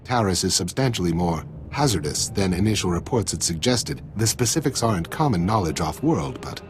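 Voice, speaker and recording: Video game envoy, polite, formal, lowish, very lively melody, music underneath. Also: male voice, a little fast, a little hiss or hum. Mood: courteous. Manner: courteous, careful, official.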